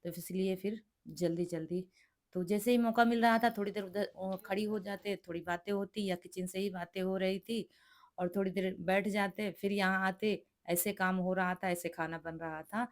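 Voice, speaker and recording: A middle-aged woman with a hoarse, cracked voice from a sore throat, chatting through a home cooking vlog and explaining each step. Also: mid-range voice, steady tone, moderate pace. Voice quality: cracked, rough voice. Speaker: vlogger cooking for guests. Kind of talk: casual cooking vlog narration.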